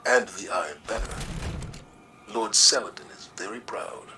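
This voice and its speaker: An actor playing a grave, diplomatic military commander in a video game, formal, careful and wary. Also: male voice, fairly low pitch, normal speed, bright, forceful tone, a little hiss or hum. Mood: dry, measured. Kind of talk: dramatic video game acting.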